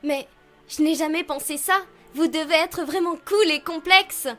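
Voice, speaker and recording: A woman voicing a young female pony in an animated cartoon dub, an earnest and eager admirer who encourages and gushes. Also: high-pitched, measured pace, soft background music. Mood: eager, admiring.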